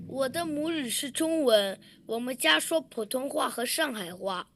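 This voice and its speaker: A woman's voice: high-pitched, animated, normal speed, a little hiss or hum. A woman reading a prepared public statement in short recited sentences. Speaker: student public speaker.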